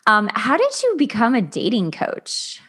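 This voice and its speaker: A woman's voice: on the high side, wildly swooping pitch, a little slow, a bit bright, breathy edge. A woman hosting a podcast, interviewing a guest in a casual, conversational way. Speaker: podcast host.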